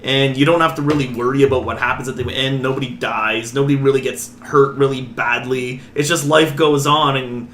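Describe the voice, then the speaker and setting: A man's voice: mid-range voice, fairly even intonation, brisk, few pauses, a bit bright, echoey room, slight background noise. A man hosting a casual movie review podcast, playful and rambling, often stumbling over his words. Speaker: podcast host.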